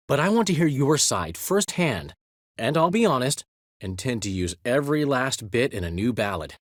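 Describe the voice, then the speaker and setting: Showy chatty bard, theatrical and witty, swooping animated voice, dry close sound. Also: male voice, medium pitch, dry recording. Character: flamboyant, chatty middle-aged male bard and poet. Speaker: game character. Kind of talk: video game dialogue.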